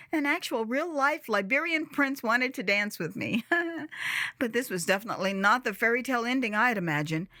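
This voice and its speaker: An American woman narrating a first-person audio drama in a theatrical, expressive way with dramatic pauses. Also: fairly high pitch, dry recording. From United States.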